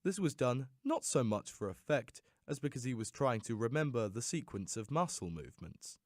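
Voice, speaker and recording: A man giving a theatrical, expressive scripted reading of a story as an audiobook narrator. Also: medium pitch, moderate pace, dry recording, studio quality.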